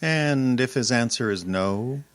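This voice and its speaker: A man playing a wisecracking, streetwise detective's legman in old-time radio style, with a slangy, deadpan delivery. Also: mid-range voice, takes it slowly, no echo. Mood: wry. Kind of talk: old-time radio detective acting, first-person narration.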